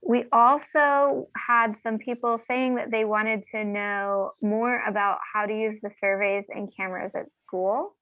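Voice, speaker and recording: A woman's voice: a little high, fairly even intonation, measured pace, few pauses, no room sound. A woman acting as moderator of an online class, speaking informally and practically over a call. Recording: narrow phone-like call audio.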